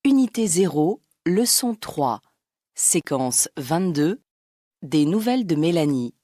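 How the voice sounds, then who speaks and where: A woman reading textbook exercise instructions in a slow, articulate way. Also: sits low, sing-song, mellow, no echo, clean studio sound. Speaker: narrator of exercises.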